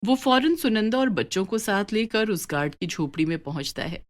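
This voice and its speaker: A woman narrating a scripted moral story for children in an expressive storyteller manner. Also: mid-range voice, moderate pace.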